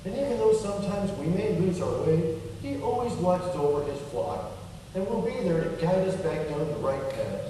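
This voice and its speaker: An echoey hall carries the mellow, high voice of an earnest puppet fellow played by a man. He is patient and kindly, explaining like a gentle teacher in a lively, swooping children's show delivery. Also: normal speed. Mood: earnest, encouraging. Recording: echoey hall from far off, faint noise.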